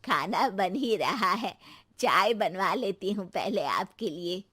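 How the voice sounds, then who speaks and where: A woman's voice: medium pitch, animated, slow pace, bright, forceful tone, breathy edge, heavily acted. A woman voicing a proud, fussy middle-aged mother-in-law in a cartoon moral story, coaxing and boasting about her family. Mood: proud, welcoming.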